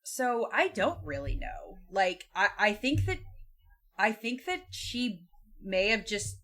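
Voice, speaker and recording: A woman's voice: medium pitch, very animated, slow pace, pushed and bright. A woman hosting a podcast, talking casually about books in a hesitant, conversational, joking way. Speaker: podcast host. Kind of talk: casual book discussion.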